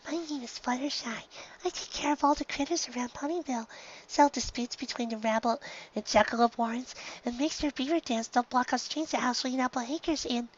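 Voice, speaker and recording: A woman voicing a timid young female pegasus pony, speaking in a breathy near-whisper that trails off, on a dull lo-fi recording. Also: sits high, a little fast, a little hiss or hum, pitched above the performer's own voice, lightly acted. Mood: softly thrilled. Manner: hesitant, trailing off, then gushing softly.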